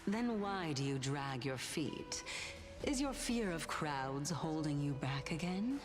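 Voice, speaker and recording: Otherworldly judge: low female voice, theatrical lilt, arch, measured, music behind. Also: animated. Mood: teasing reproach. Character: poised, teasing, otherworldly woman, theatrical and judging. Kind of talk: video game voice acting. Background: game music.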